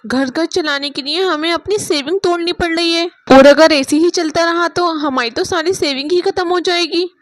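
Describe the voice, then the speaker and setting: A woman's voice: extremely high pitch, moderate pace, hardly any pauses, no room sound. A woman voicing a worried, hard-up married sister, plaintive and earnest, in a cartoon moral story.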